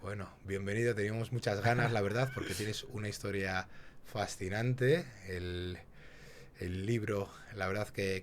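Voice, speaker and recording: A man's voice: lowish voice, slight background noise. A man hosting an interview podcast, introducing the episode and guiding the guest through his story. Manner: builds up intro with rising energy. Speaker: podcast host.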